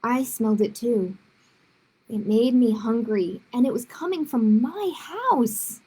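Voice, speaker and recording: A woman's voice: on the high side, expressive, slow, mellow, breathy edge, rough recording. A woman reading a children's story aloud expressively, with short explanatory asides to young listeners.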